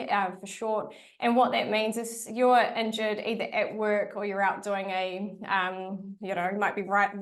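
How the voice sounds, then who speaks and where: A woman with a New Zealand accent, an immigration adviser explaining things in an informational interview with frequent ums. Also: medium pitch.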